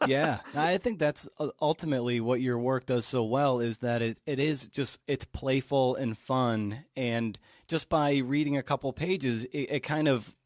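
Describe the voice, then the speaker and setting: A man's voice: medium pitch, unhurried, few pauses, no room sound, clean studio sound. A man hosting a podcast in a casual, chatty way, with informal, slangy wording. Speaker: podcast host.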